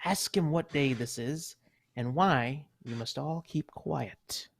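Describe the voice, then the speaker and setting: A man's voice: fairly high pitch, slow, gravelly, full character voice. A man voicing a fussy, motherly mouse mother in a live radio play reading, bossy and coaxing. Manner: bossy and coaxing, old-fashioned.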